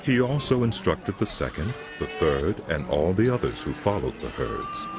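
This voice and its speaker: A man with a very low voice narrates scripture as drama. His delivery is solemn and measured yet widely varied in pitch, set over clear background music. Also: big pitch swings. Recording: music behind the voice.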